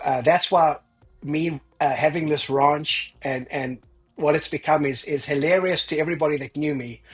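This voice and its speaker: A man's voice: a little high, slightly rough. A man in his forties with a South African accent, a podcast guest telling his life story with self-deprecating humor. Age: 40s. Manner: self-deprecating, laughs at his own stories. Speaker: guest, ranch founder. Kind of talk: casual interview storytelling.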